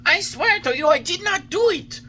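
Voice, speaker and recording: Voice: medium pitch, huge pitch swings, a little slow, sharp tone, a little hiss or hum, pitched above the performer's own voice, full character voice. A reader voicing a touchy little old male carpenter who talks in a squabbling, defensive way.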